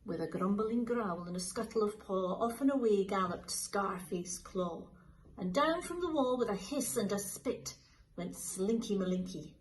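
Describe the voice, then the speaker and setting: A woman teacher reading a children's picture book aloud in a slow, lilting, expressive way. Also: mid-range voice, poor audio. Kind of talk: storybook read-aloud for children.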